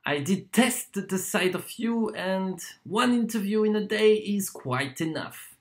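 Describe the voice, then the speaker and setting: A performer playing a proud wandering torturer in a theatrical monologue, speaking deliberately with dramatic pauses and swings. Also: medium pitch, expressive. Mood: contemptuous. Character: proud wandering torturer from Poland, wheedling then contemptuous.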